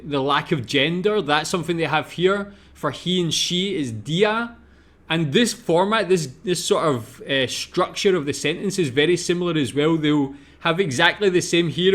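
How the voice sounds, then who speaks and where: A Scottish man with a Glasgow accent, thinking aloud as he reacts to a video and asks his viewers questions. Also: fairly high pitch. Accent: Glaswegian Scottish. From Scotland. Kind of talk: casual reaction commentary.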